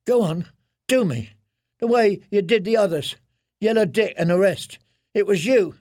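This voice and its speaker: A man's voice: sits high, moderate pace, a little mellow, dry recording, clean studio sound, pitched above the performer's own voice, natural voice. A man voicing a broken, haunted young man who pleads in a tearful, trembling way. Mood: despairing. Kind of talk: audiobook character voice.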